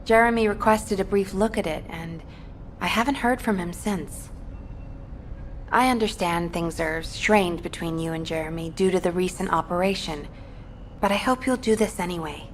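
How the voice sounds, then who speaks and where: A woman playing a dutiful military operations officer in a video game, speaking in a formal, measured, earnest way. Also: on the low side, slight background noise, lightly acted. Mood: earnest, confiding. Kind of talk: video game mission briefing.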